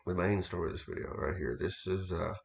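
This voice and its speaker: Very deep gravelly man, halting storyteller. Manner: halting, repeats himself, many restarts and fillers. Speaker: occult author recounting experiences. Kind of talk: casual first-person storytelling.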